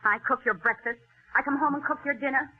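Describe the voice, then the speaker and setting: An actress playing a bitter, nagging working wife in a 1940s radio drama, scolding with sharp sarcasm. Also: female voice, sits high, fast, husky. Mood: nagging, scornful. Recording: tinny band-limited old radio broadcast.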